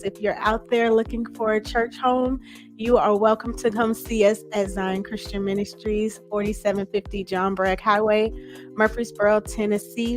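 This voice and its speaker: Agreeable woman guest, medium pitch. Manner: agreeable, supportive listener. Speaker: talk show guest, pastor's wife. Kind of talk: casual conversation.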